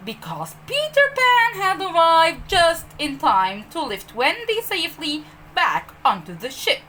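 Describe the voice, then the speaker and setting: Woman, children's storyteller, highly animated pitch, slow measured pace, expressive emphasis, faint background noise. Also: low-quality recording. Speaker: storyteller, teacher. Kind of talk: scripted children's storybook narration.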